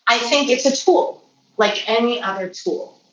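A woman who grew up speaking Polish, answering interview questions as a healthcare professional in a measured, explanatory way. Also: on the high side, fairly bright tone. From Poland or Polish-speaking family. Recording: roomy, distant mic, faint noise.

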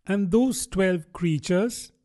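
A man's voice: high voice, lively intonation, unhurried, a little mellow, pitched above the performer's own voice. A man putting on a curious young girl. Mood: wondering.

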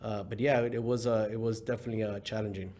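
Low-voiced Mexican-born man, easygoing. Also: fairly even intonation, fast talker, a little mellow. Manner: easygoing, with frequent fillers and restarts. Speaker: company CEO, guest. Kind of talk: casual interview talk.

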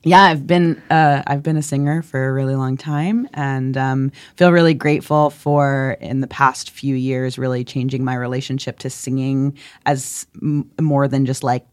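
A woman's voice: a bass voice, steady tone, moderate pace, few pauses, clear, resonant tone, dry recording, studio quality. A woman co-hosting a podcast who is a singer, talking expressively and laughing easily in casual chat.

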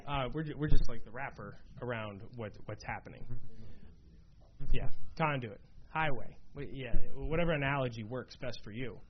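A man's voice: medium pitch, normal speed. A man leading a Bible study in a conversational way, often checking in with his listeners. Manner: conversational, many fillers and "right?" checks. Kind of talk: casual teaching to a small group. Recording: dull lo-fi room recording, faint noise.

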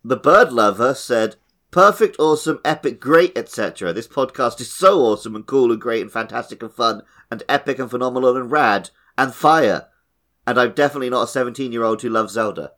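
A man's voice: mid-range voice, brisk, a bit bright. A man in his thirties co-hosting a gaming podcast in casual, conversational style. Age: 30s. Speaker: podcast co-host.

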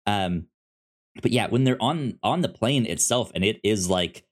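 A man co-hosting a casual film discussion, speaking haltingly and often stammering and repeating words. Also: lowish voice, expressive. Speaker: podcast co-host reviewing films.

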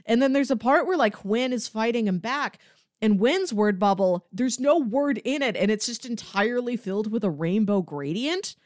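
A woman's voice: on the high side, animated, normal speed, darkish tone, no echo, studio quality. A woman co-hosting a podcast, chatting casually about books and acting out bits as she goes. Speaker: podcast co-host discussing comics. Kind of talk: casual chat, book review.

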